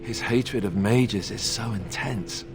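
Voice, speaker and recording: A man playing a sober, observant companion in a fantasy video game, measured and solemn. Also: lowish voice, poor audio. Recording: loud music under the voice.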